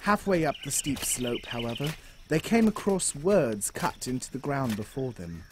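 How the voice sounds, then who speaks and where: A man's voice: medium pitch, sing-song, normal speed, slight background noise. A man giving dramatic scripted narration of a fairy tale for an audio drama. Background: music.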